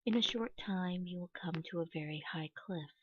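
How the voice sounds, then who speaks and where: A woman reading a scripted guided meditation in a measured, instructional way, pausing between phrases. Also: on the low side, slightly rough, no room sound, dull audio.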